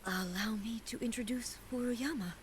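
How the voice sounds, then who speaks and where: An actress playing a solemn, dignified shogun goddess in a video game, speaking in a formal, grave and measured manner. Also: female voice, a little high, slight background noise, lightly acted. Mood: composed, resolute. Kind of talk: scripted video game voice acting.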